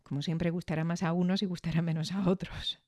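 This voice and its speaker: A podcast reviewer talks through an analysis in a soft-edged, mellow voice. The delivery is reflective and didactic, often turning to the listener with questions. The sound is very dry. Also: medium pitch, flat delivery, fairly quick, no room sound.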